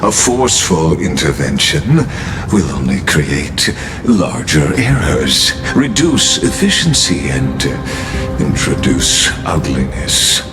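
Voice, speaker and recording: A godlike game villain, very low and expressive in pitch. He is coldly courteous and menacing, choosing formal, theatrical phrases with great deliberation. Loud music plays behind him. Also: slow, exaggerated voice. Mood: cold, precise. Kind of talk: dramatic video game voice acting.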